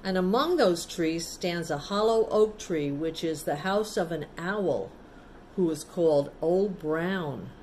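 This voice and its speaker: A woman's voice: on the low side, takes it slowly, darkish tone, slight background noise. A woman storyteller reading a picture book aloud to children, adding simple explanatory asides in a casual, child-directed way.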